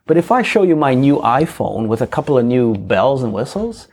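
Portuguese-native man, husky voice, reflective podcast co-host. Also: mid-range voice, sing-song, moderate pace, darkish tone. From Portuguese-speaking country. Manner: reflective, asks rhetorical "right?". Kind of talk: casual podcast discussion.

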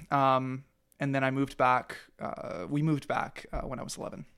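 Young male podcast guest; average pitch, rambling, filler-heavy storytelling. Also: fairly even intonation, measured pace, gravelly, a bit bright, smooth. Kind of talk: casual interview.

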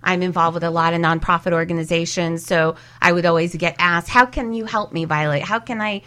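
A woman's voice: lowish voice, fairly even intonation, fairly quick, pushed and bright. A woman speaking conversationally as a career coach being interviewed.

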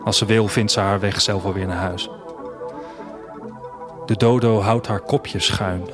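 A man's voice: on the low side, fairly even intonation, unhurried, music in the background, close to an everyday voice. A man acting as a wistful, restless traveller, narrating an audio drama in a reflective, literary first-person style. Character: wistful, restless traveller leaving his future city. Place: studio, music behind.

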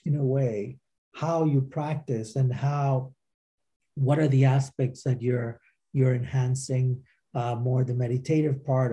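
A middle-aged man, a teacher and author being interviewed, explains his practice informally in halting, circling explanations. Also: mid-range voice, mellow, slightly rough. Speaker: interview guest, meditation movement teacher and author.

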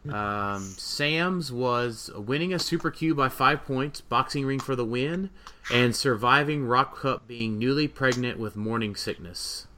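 A man hosting a casual gaming podcast, rambling and often pausing to gather his thoughts. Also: medium pitch, sharp tone, slight background noise. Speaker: podcast host and competitive gamer. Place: voice recording for a group podcast.

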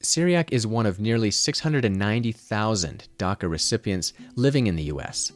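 A man's voice: lowish voice, lively intonation, brisk, soft background music. A man with an American accent, speaking as a podcast host who narrates and interviews. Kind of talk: scripted narration and interview questions.

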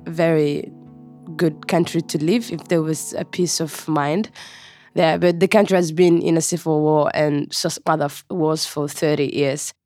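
A young woman with a Somali accent speaks as an interview guest. She tells her own story casually, in halting, informal phrases, with faint music behind her. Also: deep voice, fairly even intonation, mellow. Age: young adult. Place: studio.

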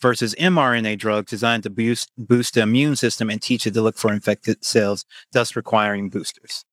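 A man's voice: fairly low pitch, steady tone, moderate pace, dry recording, clean studio sound. A man co-hosting an online show, talking casually and leaning on "like" a lot. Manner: casual, heavy use of "like". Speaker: co-host. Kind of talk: casual political chat.

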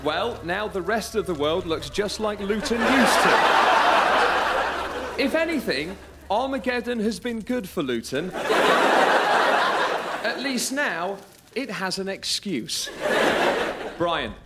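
A man's voice: sits high, animated, slow pace, long pauses, very noisy, exaggerated voice. A man playing an earnest news correspondent, giving a mock-serious radio report from a scene of devastation.

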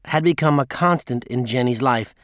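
A man's voice: medium pitch, moderate pace, fairly bright tone, no room sound. Male audiobook narrator, dry sound. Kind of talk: scripted memoir narration.

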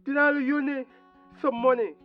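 A man playing an earnest young migrant son in an audio drama, pleading and halting over a phone line. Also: very high voice, level tone, soft background music. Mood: remorseful, tender. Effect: phone line filter. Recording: thin bad phone line.

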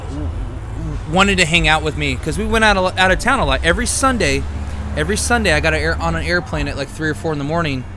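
A man's voice: on the high side, animated, fast, background noise. A man with a nasal, youthful-sounding voice, chatting and telling stories in a rambling, stammering way as a podcast host. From West Coast US. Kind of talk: casual chat, storytelling.

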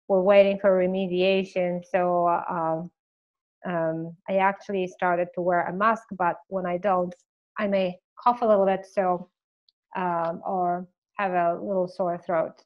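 A woman speaking as an expert guest on a podcast, explaining in a warm manner, her voice slightly scratchy, clearing her throat now and then. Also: lowish voice, little pitch movement, a little slow, lo-fi sound. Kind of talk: podcast interview.

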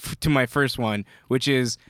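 A man's voice: mid-range voice, normal speed, no echo, clean studio sound. A young man co-hosting a podcast, chatting casually, rambling with restarts and frequent laughs. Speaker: podcast co-host.

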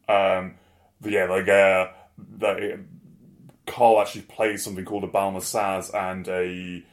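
Low-voiced man chatting about music. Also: level tone, measured pace. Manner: enthusiastic, rambling with fillers. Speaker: podcast co-host. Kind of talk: casual music discussion.

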